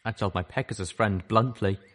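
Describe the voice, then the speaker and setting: A man reading a story aloud as first-person narration, expressive and dramatic. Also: fairly low pitch, brisk. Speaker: audiobook reader.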